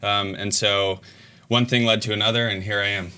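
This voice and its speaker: A man working as an engineering manager, answering panel questions in a relaxed, rambling way with many ums. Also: fairly low pitch, normal speed, smooth.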